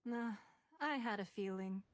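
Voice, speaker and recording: A woman voicing a poised, shrewd aristocratic stateswoman in a video game, with a measured, formal and gracious manner. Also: mid-range voice, natural voice. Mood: composed, serious. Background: game music.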